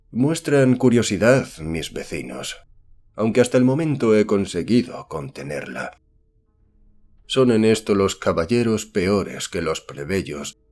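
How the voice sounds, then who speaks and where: A man's voice: on the low side, a little mellow, exaggerated voice. A man voicing a secretive colonial occultist, reading his letter in formal, archaic, courteous diction for a horror audiobook.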